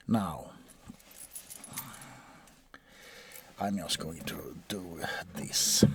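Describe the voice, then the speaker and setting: A man playing a bored, sardonic, centuries-old vampire doctor in a soft-spoken, deadpan ASMR role-play, often slipping into a whisper. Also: low voice, gravelly, slight background noise, heavily acted. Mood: weary, deadpan. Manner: deadpan, hesitant, drawn-out, often dropping to a whisper.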